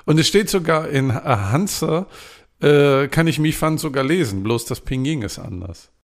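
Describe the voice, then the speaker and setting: Guy with a bit of fry in his voice, joking around on a podcast. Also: fairly high pitch, expressive, a little slow. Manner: playful, laughs at his own attempts at dialect words. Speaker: podcast co-host. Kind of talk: casual podcast chat.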